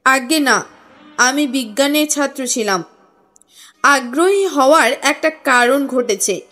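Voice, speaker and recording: A woman voicing an earnest, courteous businessman in an audio story, speaking in a formal, measured, explanatory way. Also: high voice, expressive, bright, forceful tone, full character voice. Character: earnest, courteous businessman recounting his family history. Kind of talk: audio story character reading. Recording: music under the voice.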